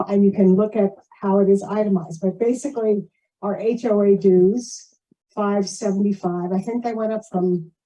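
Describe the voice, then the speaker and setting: Woman, very dark mellow tone, mid pitch, informal meeting report, plain-spoken, rambling asides. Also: fairly even intonation, normal speed, rough recording. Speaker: board member giving a financial report. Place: community meeting shared over video call.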